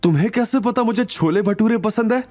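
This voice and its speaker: A man voicing an innocent, excitable young woman with an expressive girlish delivery in an audio drama. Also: high voice, fairly quick, faint music behind, pitched above the performer's own voice, natural voice. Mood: surprised.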